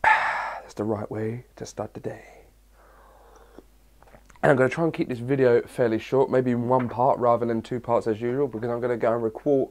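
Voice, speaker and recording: A young man from England with a cold and a hoarse voice, chatting his way through a casual Q&A vlog. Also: mid-range voice, darkish tone. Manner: chatty, rambling, reads questions aloud. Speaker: fitness vlogger.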